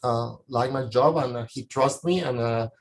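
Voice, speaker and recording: A man's voice: mid-range voice, normal speed. A man with a Latin American Spanish accent, a scientist panelist informally recounting his career, often searching for words. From Ecuador and Colombia. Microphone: video call. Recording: noisy video-call audio.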